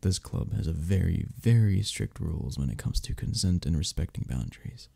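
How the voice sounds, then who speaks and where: A man playing a flirty, friendly club member in an ASMR romance roleplay, teasing and reassuring in a breathy, gravelly voice. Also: sits low, lively intonation, moderate pace, mellow, full character voice. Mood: gentle, inviting. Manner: teasing, reassuring, chuckles between phrases. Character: flirty, friendly male cuddle club member showing a newcomer around. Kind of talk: ASMR romance roleplay, one-sided conversation.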